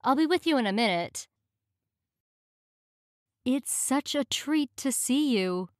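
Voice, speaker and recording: A woman reads short practice phrases one at a time in a clear, measured voice. She speaks very slowly and sits a bit high in pitch. The recording is dry and close, with no room sound. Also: expressive, dry recording. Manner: clear, measured, each phrase read twice. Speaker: English phrase reader. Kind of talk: slow, careful reading of practice phrases.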